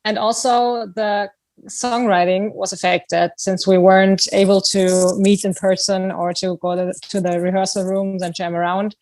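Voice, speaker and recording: A woman with a German accent chatting casually in an interview as a band singer, halting as she searches for words. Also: medium pitch, flat delivery, darkish tone, dry recording, low-quality recording.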